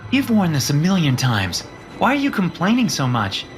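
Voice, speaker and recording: Guy doing higher voices for the young crew, swoopy and tense, music under it. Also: huge pitch swings, moderate pace. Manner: raised pitch for dialogue. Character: young adult characters, men and a woman.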